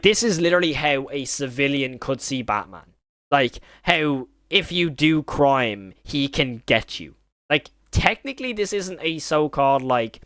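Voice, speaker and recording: A man's voice: medium pitch, a bit bright, no echo, clean studio sound. A man hosting a reaction video, giving casual, rambling, informal commentary with some mild swearing.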